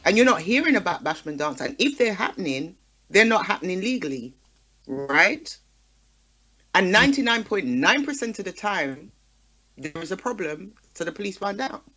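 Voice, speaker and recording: A woman's voice: mid-range voice, very animated, moderate pace, fairly bright tone. A woman speaking in a passionate, conversational radio discussion, emphatic and punctuating her points with rhetorical questions. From Caribbean community in the UK. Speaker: radio talk show guest.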